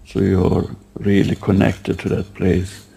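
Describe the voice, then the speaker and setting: Slow, gravelly low-voiced man guiding meditation. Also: monotone. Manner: halting, unhurried, gently instructing. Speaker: guided meditation practitioner leading a session. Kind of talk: slow guided meditation with questions.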